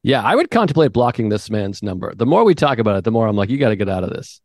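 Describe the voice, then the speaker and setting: A man's voice: on the low side, sing-song, fast talker, no echo, studio quality. A man chatting casually and comedically as a guest on a podcast.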